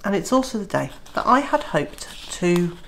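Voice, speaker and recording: Lowish woman's voice, stuffed up with a cold, nasal and throaty, chatty vlog talk full of uhs. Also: sing-song, moderate pace, a bit bright, a little hiss or hum, poor audio. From Wales. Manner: chatty, many uh fillers. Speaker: homesteading vlogger. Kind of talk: casual vlog to camera.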